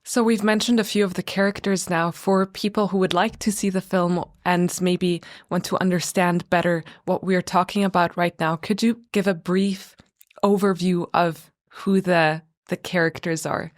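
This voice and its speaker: Female podcast host, measured and polite, low-pitched, close and dry. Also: flat delivery, no room sound. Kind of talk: interview questions.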